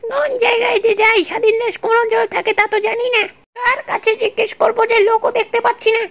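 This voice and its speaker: A man voicing a cheeky, boastful young male cartoon troublemaker in a squeaky comic voice, given to taunts and exclamations. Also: little pitch movement, quick pace, fairly bright tone. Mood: uncertain. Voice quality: squeaky, very high-pitched cartoon voice.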